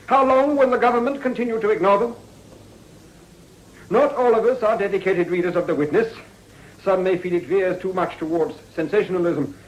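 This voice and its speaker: An actor playing an ambitious, calculating politician in a period radio drama, speaking in a formal, clipped, oratorical way. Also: male voice, sits high, close to an everyday voice, low-quality recording.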